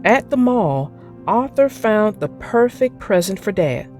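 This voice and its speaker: A woman's voice: mid-range voice, sing-song, measured pace, a little mellow. A woman narrating a children's storybook in a scripted read-aloud style. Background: music.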